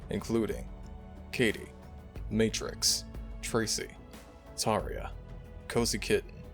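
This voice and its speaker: A man's voice: low-pitched, vocal fry, a bit bright. A man in his own voice, an ASMR roleplay creator, gratefully thanking his viewers and listing his supporters. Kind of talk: channel outro thanks.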